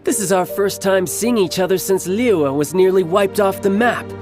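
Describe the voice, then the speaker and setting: A man voicing a cocky, playful young warrior and doting big brother in a video game, smooth-talking and theatrical. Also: high-pitched, normal speed, natural voice. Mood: breezy teasing. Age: young adult. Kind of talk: video game character acting. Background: music.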